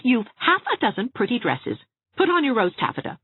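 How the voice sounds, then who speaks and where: A woman voicing a brisk, exasperated young woman in an audiobook, sharp, insistent and scolding. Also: on the high side, huge pitch swings, breathy edge, no echo, pitched above the performer's own voice, heavily acted. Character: brisk, sarcastic, exasperated young woman, a bossy loyal friend. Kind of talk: audiobook character voice. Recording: thin phone-like narrow-band sound.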